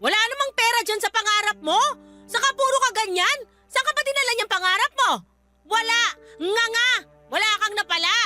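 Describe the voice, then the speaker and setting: A domineering mother of middle age in a radio drama. She is harsh and belittling, with a shrill, very sharp, forceful voice. Faint music plays behind her. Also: expressive, fairly quick, exaggerated voice. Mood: scornful. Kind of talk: Tagalog radio drama acting.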